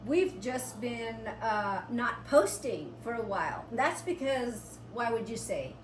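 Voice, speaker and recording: A woman chats casually as co-host of a homestead vlog, in a fairly high voice at an unhurried pace. The sound is roomy and a little distant from the mic, with faint noise. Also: animated. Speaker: co-host of a family homestead channel. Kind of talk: casual unscripted chat.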